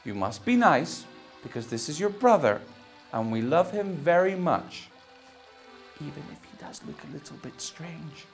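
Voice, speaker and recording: A gentle mother duck speaking softly and reassuringly in a lowish, lilting voice, as a woman reader plays her. Slow and tender, faint music behind. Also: lively intonation. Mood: soothing. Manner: soothing, reassuring.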